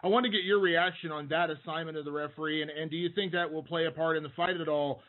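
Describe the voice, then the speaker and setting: A male reporter speaks rapidly over a narrow telephone connection. His pitch sits a little high as he sets out a question for a press call. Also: fairly even intonation. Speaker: sports reporter asking questions. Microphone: phone. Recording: narrow phone line.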